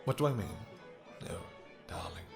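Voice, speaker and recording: A man's voice: normal speed, over music, natural voice. A man playing a possessive, courtly vampire king in a romantic fantasy audio roleplay, speaking silky endearments with mock-polite menace. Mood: doting, flirtatious.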